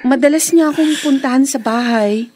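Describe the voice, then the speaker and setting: An actress playing a meek, evasive young daughter in a Filipino radio drama. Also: female voice, sits high, normal speed, darkish tone, close to an everyday voice. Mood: respectful, reassuring. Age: young adult.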